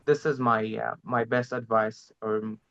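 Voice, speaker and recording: A man whose first language is Arabic, sharing language-learning tips in a halting, hesitant way. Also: medium pitch, fairly even intonation, gravelly, fairly bright tone. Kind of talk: casual interview chat. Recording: slightly dull lo-fi audio.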